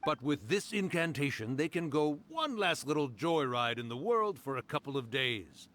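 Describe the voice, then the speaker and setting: A man playing a mischievous, boastful fox-spirit yokai in a video game, with a theatrical, teasing, drawn-out delivery. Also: on the high side, sing-song, fairly bright tone. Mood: jaunty boasting. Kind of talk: video game character acting.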